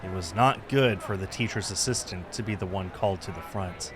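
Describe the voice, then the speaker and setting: A man's voice: low-pitched, a little fast, a crowd in the background. A man reading story narration aloud from a script. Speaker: streamer reading a visual novel aloud.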